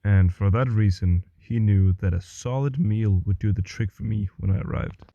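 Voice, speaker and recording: Low-voiced Danish man narrating, dry humor. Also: normal speed, vocal fry, warm tone, dry recording. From Denmark. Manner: dry, self-mocking humor. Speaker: vlogger narrating his bike trip. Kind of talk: scripted voice-over narration. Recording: dull, dry voice-over with faint music.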